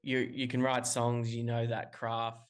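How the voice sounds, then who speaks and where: A man's voice: mid-range voice, monotone, unhurried, smooth. A man from Australia, a musician guest calling in remotely, talking casually in long rambling answers full of restarts and fillers. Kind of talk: casual interview talk.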